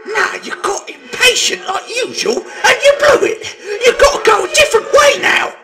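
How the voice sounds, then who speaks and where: A man voicing a small, scheming goblin with a squeaky, croaky voice, nagging and scolding in slangy speech in a fantasy audiobook. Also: very animated, moderate pace, pushed and bright, shouting, exaggerated voice.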